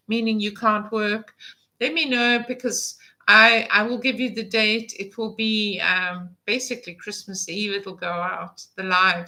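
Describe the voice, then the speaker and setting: A woman's voice: a little high, pushed and bright, rough recording. A woman in her fifties hosting a casual live vlog, chatting in a rambling way with many fillers and restarts. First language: English. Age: 50s. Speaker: vlog host. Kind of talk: casual live-stream chat.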